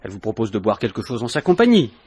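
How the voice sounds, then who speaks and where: Probing police inspector, swooping husky voice. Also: male voice, lowish voice, big pitch swings, quick pace, darkish tone. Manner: probing, insistent questioning with dry understatement. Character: male police inspector, probing, suspicious, coolly insistent. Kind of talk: 1950s French radio mystery acting.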